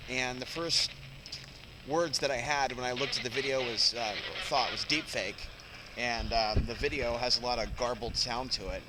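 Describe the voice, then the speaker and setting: A man hosting his own independent show, talking in a rambling, unscripted way, halting and drifting into asides. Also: medium pitch, background noise. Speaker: host of an independent podcast and TV news show. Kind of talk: rambling unscripted monologue to listeners.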